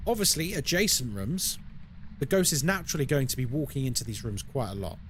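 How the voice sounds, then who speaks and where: A man's voice: medium pitch, expressive, a little fast, fairly bright tone, a little hiss or hum. A man from London with a London accent, casually chatting with viewers and explaining the game as he plays on a live stream. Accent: London English. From London, United Kingdom. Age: adult, over 20. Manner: chatty, explains the game while answering chat.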